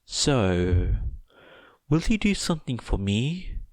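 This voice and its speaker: A man's voice: mid-range voice, big pitch swings, slow pace, a little mellow, dry recording. A man voicing a nervous, polite teenage boy who talks carefully and sweetly in an audiobook story. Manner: hesitant, placating, polite.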